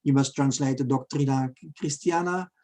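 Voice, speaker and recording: A man in his sixties with a Dutch accent, giving a halting academic seminar lecture over a video call and reading out quoted passages. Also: medium pitch, warm tone. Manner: halting, many fillers, reads Spanish quotes aloud.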